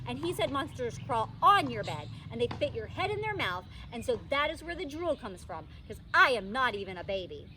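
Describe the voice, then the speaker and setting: A woman voicing an excitable, stubborn little girl from a storybook, insistent and pleading with childish grammar. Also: on the high side, normal speed, hardly any pauses. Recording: distant microphone, faint background noise.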